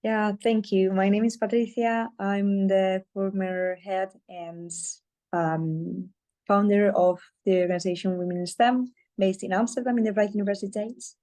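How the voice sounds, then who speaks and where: A woman panelist introducing herself in a measured way, with a few fillers. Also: mid-range voice, fairly even intonation, dark tone. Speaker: panelist, organization founder. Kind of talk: formal self-introduction.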